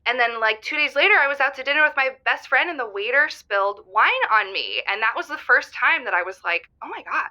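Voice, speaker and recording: A woman's voice: high voice, animated, brisk, hardly any pauses, bright, forceful tone, exaggerated voice. An actress playing a gushing, earnest, self-doubting woman phoning a radio show, rambling casually through a phone filter. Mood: excited, wondering. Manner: rambling, giggly, peppered with "like". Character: gushing, earnest, self-doubting woman caller who thinks she's psychic. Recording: thin, band-limited phone caller sound.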